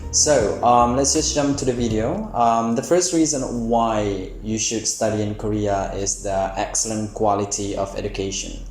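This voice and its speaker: A man's voice: lowish voice, moderate pace, hardly any pauses, darkish tone, soft background music. A young man with an Indonesian accent, talking informally to viewers as he explains things in a vlog. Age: young adult. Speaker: vlogger, PhD student. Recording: echoey room, faint background noise.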